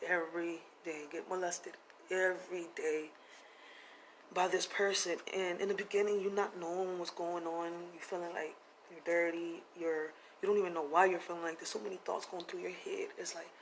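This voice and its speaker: Plainspoken woman with a flat delivery. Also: fairly low pitch, normal speed, slight background noise, poor audio. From Puerto Rico, raised in Philadelphia. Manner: plainspoken, confessional, casual slang. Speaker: vlogger telling her life story. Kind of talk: personal testimony to camera.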